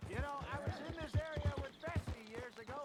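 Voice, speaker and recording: An actor playing an older American outlaw hunter in a western game, a folksy, measured, seasoned mentor. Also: male voice, very high-pitched. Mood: reflective. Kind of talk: western video game voice acting. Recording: clear background noise, horse hoof clatter.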